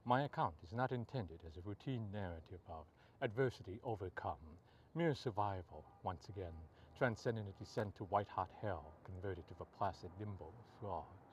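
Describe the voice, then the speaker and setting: A man reading poetry aloud as a poet, in measured, deliberate short phrases. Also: fairly low pitch, lo-fi sound, a little hiss or hum. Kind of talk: poetry reading, recited free verse.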